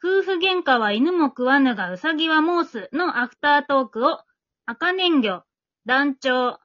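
A woman's voice: high voice, expressive, measured pace, a bit bright. A woman hosting a casual group talk, chatty and turning to others with questions. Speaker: host and MC of an amateur radio drama after-talk. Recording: dull lo-fi audio.

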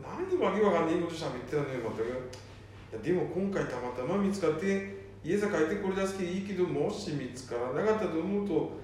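High-voiced, fretting son played by a man, echoey. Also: animated, fast, a little mellow. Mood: exasperated. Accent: Nanbu dialect, northern Tohoku. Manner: folksy, fretting. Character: worried, exasperated eldest son of a wandering father. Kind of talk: amateur radio drama acting. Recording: very echoey room, distant mic, faint noise.

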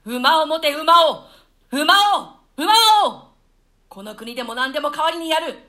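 A woman's voice: sits high, unhurried, sharp tone. A woman playing a desperate, ferocious villain king in a classical stage play, with imperious outbursts. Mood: frantic, desperate. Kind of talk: classical stage-play reading in archaic Japanese.

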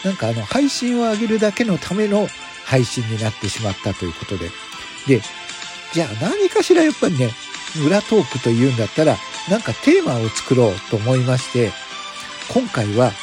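A man's voice: mid-range voice, wildly swooping pitch, brisk, music in the background. A man hosting a podcast, making a casual announcement to listeners in polite, formal phrasing. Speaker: podcast host.

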